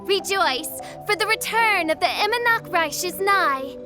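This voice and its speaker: High-voiced theatrical dark princess. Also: female voice, animated, measured pace. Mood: grandiose. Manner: grandiose, archaic, dramatic. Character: theatrical, pompous young self-styled dark princess. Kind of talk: video game character dialogue. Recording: music behind.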